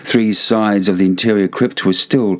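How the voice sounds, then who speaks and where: A man narrating gothic fiction as an audiobook, in a measured, theatrical manner. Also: lowish voice, steady tone.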